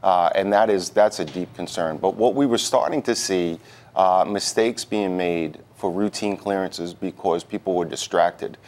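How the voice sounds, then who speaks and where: Low-pitched man giving halting interview answers. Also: darkish tone. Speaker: union president, interview guest. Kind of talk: live TV news interview answers.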